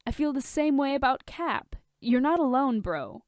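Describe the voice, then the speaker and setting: A woman's voice: high-pitched, animated, normal speed, darkish tone, no room sound, studio quality, voice pushed up. A woman voicing a tipsy, casual, wisecracking male friend in a story reading. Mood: commiserating.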